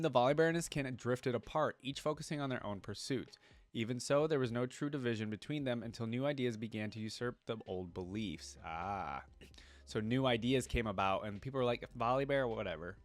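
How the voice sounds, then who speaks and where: A man with a medium-pitched voice hosts a casual reaction video. He moves between reading text aloud and informal commentary, often thinking out loud and putting questions to himself.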